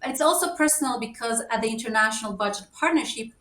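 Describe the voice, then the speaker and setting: A woman's voice: fairly high pitch, quick pace, bright, forceful tone. A woman from Ecuador, speaking as a panelist and laying out her points in order. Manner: earnest, organized, lists points in order. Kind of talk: panel discussion remarks. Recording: roomy echo, mic at a distance.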